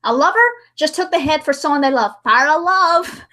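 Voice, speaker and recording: A woman's voice: high voice, brisk, pushed and bright. A woman chatting casually on a livestream in a playful, joking way. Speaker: livestream host editing a dramatic reading. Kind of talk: casual chat.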